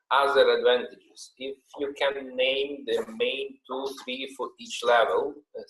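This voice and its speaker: Moderator reading questions in a roomy sound. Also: male voice, mid-range voice. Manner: reads questions aloud, mixing English and Ukrainian.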